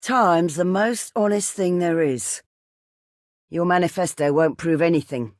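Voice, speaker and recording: An actor as a measured, calm game mentor. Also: medium pitch, natural voice. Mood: solemn, fond. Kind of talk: scripted game character voice line.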